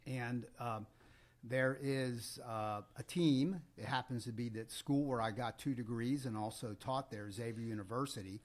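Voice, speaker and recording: A man co-hosting a podcast, chatting casually about politics and sports in a conversational, joking way. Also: mid-range voice. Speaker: podcast co-host.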